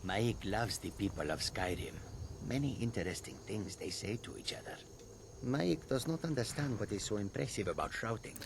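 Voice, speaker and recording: A man's voice: fairly low pitch, lively intonation, normal speed, creaky, slight background noise. An actor playing a sly, rambling cat-man beggar who talks of himself in the third person, in a fantasy video game. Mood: idle musing.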